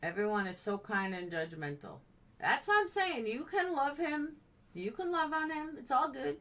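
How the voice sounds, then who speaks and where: A woman's voice: high voice, very animated, moderate pace, a bit bright, distant mic. A woman live-streaming in a blunt, chatty, playful way, casually answering comments as they come in.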